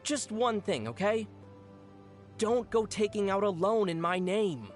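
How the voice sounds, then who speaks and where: An actor voicing a young traveling adventurer in a video game, level-headed and gently teasing, explaining things in a dry, playful way. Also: mid-range voice, unhurried, soft background music, natural voice. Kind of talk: video game character dialogue.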